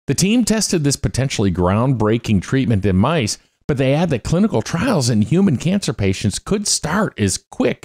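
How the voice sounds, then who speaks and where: Lively middle-aged man reading science news. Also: on the low side. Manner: upbeat, exclaiming. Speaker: news show host. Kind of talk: scripted science news read. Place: small home studio. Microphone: close studio mic on arm.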